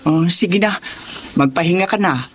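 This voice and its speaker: A woman's voice: on the low side, unhurried, slight background noise, natural voice. A woman with a Filipino accent playing a caring, fretful older mother in a radio drama, fussing and soothing. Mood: concerned, gentle.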